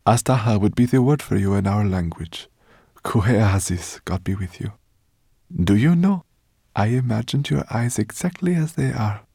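A man voicing a gentle, awed woman scholar in a storybook, with a soft, reverent manner. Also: lowish voice, expressive, normal speed, vocal fry, husky, no room sound, clean studio sound, voice pushed up, exaggerated voice. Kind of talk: storybook character voice.

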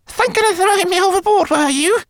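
A man voicing a tiny, plucky female rat pilot, squeaky and pert, in a storybook reading. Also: brisk, a bit bright, no room sound, clean studio sound. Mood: shivering. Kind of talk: storybook character acting in audiobook.

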